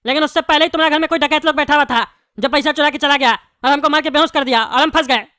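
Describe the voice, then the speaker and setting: A high-voiced performer playing a hot-tempered, scheming bald father in jail, scolding in Bihari-style Hindi for a comedy cartoon. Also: quick pace, sharp tone, no room sound, studio quality. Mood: irritated. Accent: eastern Indian (Bihari-style) Hindi. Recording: clearly noisy background.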